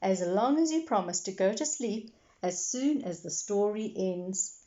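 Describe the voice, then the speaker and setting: A woman voicing a kindly, patient grandmother pig who coaxes gently in a children's storybook. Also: mid-range voice, lively intonation, measured pace, muffled recording, natural voice.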